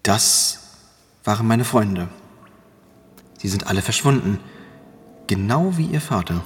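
Man acting a haunted, deep-voiced man with secrets. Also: lively intonation, breathy edge, full character voice. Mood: regretful, grave. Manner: halting, ominous storytelling with dramatic pauses. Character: haunted, guilt-ridden older man, fearful and grave. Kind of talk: German audio drama acting.

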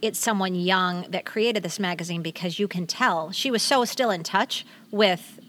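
A woman's voice: on the low side, normal speed, fairly bright tone, a little hiss or hum. An American woman in her fifties chatting casually as a podcast co-host. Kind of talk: casual chat.

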